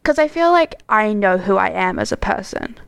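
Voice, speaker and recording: A woman's voice: medium pitch, sing-song, normal speed, creaky, smooth, no echo. A young woman co-hosting a podcast, talking in a chatty, reflective way with plenty of "like" fillers. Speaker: podcast co-host. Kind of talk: casual chat.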